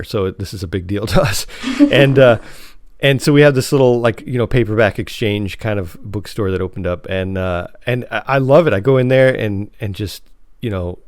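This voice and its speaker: A man's voice: on the low side, a little mellow, no room sound. Guy podcast host, chatty n casual, stumbles and restarts a lot, really dry room. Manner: halting, repeats words and restarts often.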